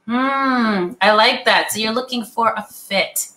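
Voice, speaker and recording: A woman hosting an interview, warmly affirming her guests and laughing easily. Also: on the high side, a little slow, poor audio. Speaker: host.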